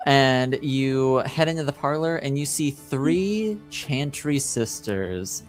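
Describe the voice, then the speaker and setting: Man, game master, mid-range pitch, improvised narration, halting conversational delivery, background music. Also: expressive.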